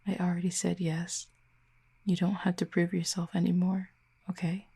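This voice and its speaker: A woman playing a wry, tender, guarded Cupid in an intimate audio roleplay, with soft, breathy, husky delivery and gentle, slightly formal phrasing. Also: lowish voice, monotone, moderate pace, full character voice. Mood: tender, sincere.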